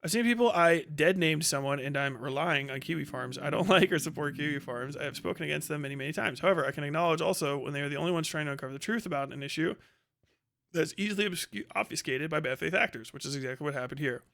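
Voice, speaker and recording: A high-voiced man hosts a livestream, reading posts aloud and reacting to them. His commentary is casual, full of swearing, and delivered with open sarcasm and mockery. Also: fast talker, gravelly, a bit bright. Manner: heavily profane, sarcastic, mocking. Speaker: livestream host.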